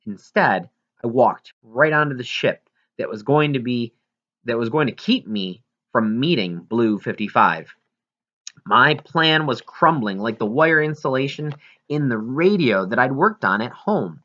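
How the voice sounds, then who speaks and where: Animated male story reader, bright voice. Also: medium pitch, dull audio. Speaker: audiobook reader. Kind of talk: expressive first-person story narration.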